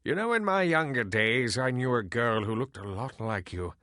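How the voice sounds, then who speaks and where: Sardonic, weary old elf sage. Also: male voice, medium pitch, lively intonation, pushed and bright, no echo, clean studio sound. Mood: wistful. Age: older. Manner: sardonic, theatrical, deliberate. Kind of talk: video game voice acting.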